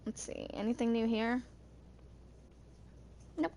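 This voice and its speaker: A woman's voice: a little high, fairly even intonation, slow, mellow, a little hiss or hum. A woman in her twenties casually commenting on a game, half talking to herself. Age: 20s. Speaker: gamer video host. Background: game music.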